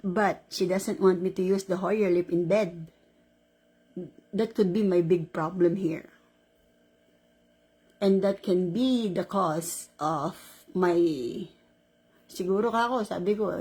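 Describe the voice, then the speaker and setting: A woman in her sixties with a Filipino accent, telling a caregiving story in a casual, animated vlog, laughing often. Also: on the low side, unhurried, long pauses, slightly rough, poor audio. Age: 60s. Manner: animated, laughing, mixes Tagalog and English.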